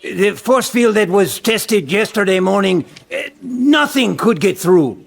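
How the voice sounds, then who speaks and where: A radio-drama delegate. His voice is high and extremely creaky, with an earnest, oratorical delivery full of idealism. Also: expressive, unhurried, heavily acted. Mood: earnest, persuasive. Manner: hesitant but fervent, oratorical.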